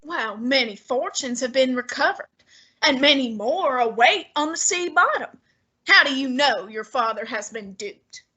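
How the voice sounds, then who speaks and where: A pompous, haughty male detective, fat and lazy, played by a girl. The voice sits high and bright, and the lines come out slow and clipped for a student radio play. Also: expressive, husky, muffled recording, heavily acted. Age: teens.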